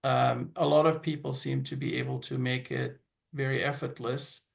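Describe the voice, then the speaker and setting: A man's voice: medium pitch, steady tone, normal speed, creaky. A man talking as a panel guest, hesitant and full of fillers and restarts. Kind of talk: casual panel chat.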